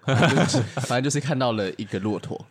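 A man's voice: mid-range voice, animated, quick pace, husky. A man, casually improvising stories as a podcast storyteller.

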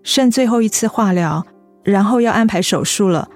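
An actress plays a young office worker with a sweet, soft-spoken manner. She is polite and evasive, mid-pitched, acting in a radio drama over faint music. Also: moderate pace, natural voice. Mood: quietly sincere. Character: sweet young female office worker hiding her illness.

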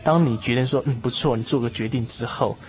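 A man's voice: mid-range voice, fast, slight background noise. A man hosting a conversational discussion of faith in a reflective, explanatory way. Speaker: radio talk show host.

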